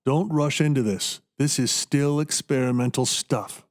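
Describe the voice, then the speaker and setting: A man playing a cautious, earnest doctor in a radio play, explaining things in a measured way. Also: medium pitch, lightly acted. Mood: cautioning, serious. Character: cautious male doctor explaining an experimental surgery.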